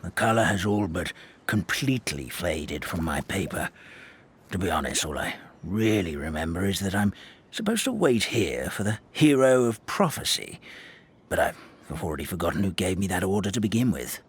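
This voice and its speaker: An actor playing an old, forgetful paper creature who acts as a gentle, fretful elder, rambling in a dramatic grandfatherly way. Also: low-pitched, sing-song, moderate pace, slightly rough, exaggerated voice. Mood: wistful. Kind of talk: video game dub acting.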